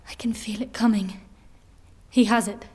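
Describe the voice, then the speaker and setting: An actress playing a defiant, haunted fantasy warrior in an audio drama, terse, intense and hushed. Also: female voice, medium pitch, fairly even intonation, moderate pace, husky, lightly acted. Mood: haunted dread.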